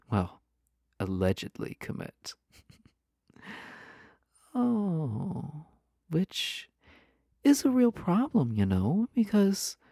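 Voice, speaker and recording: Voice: medium pitch, gravelly, dark tone, dry recording, clean studio sound, heavily acted. A man playing a flirty, playful, obsessive yandere spouse in an intimate audio roleplay, teasing the listener with suggestive lines and whispered asides. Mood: mock-serious, teasing.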